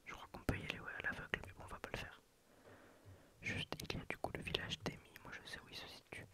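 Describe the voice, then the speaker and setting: A man with a head cold whispering a rambling, soft ASMR game playthrough close to the mic. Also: quick pace. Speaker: gameplay ASMR creator. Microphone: close ASMR microphone.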